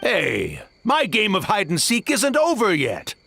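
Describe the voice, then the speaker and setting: A carefree tanuki trickster in a fantasy game. The voice is high for a male and husky, swinging widely through a slow, playful drawl. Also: huge pitch swings, pushed and bright, a little hiss or hum, full character voice. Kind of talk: scripted fantasy game dialogue. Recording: music behind the voice.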